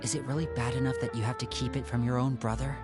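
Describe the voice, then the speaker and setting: A man's voice: mid-range voice, little pitch movement, fast, over loud music, natural voice. A man playing a suave, protective young elder brother in a video game, with an assured, theatrical, probing manner. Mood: concerned, probing.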